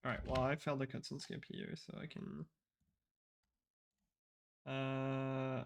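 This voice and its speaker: A man giving relaxed live commentary on his own speedrun, explaining tricks in a casual, rambling way. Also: medium pitch, takes it slowly, gravelly, a little hiss or hum. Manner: casual, explanatory, frequent fillers and restarts.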